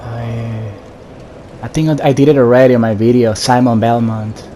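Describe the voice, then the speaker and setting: A man giving casual, low-key commentary on his own video game playthrough, thinking aloud in fragments. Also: moderate pace. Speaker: gamer narrating own playthrough. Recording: steady background noise behind voice.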